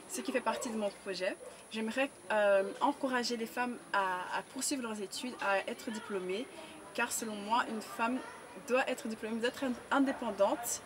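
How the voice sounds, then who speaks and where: A woman's voice: on the high side, noisy background. Earnest eighteen-year-old woman. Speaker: pageant contestant, student. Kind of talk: spoken self-introduction.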